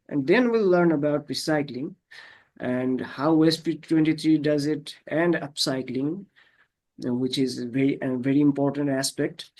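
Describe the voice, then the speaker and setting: A man from Bangladesh walks through slides on a video call. His voice sits a little high, and he speaks haltingly, filling pauses with small fillers. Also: a little mellow, poor audio. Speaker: presenter. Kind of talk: slide presentation.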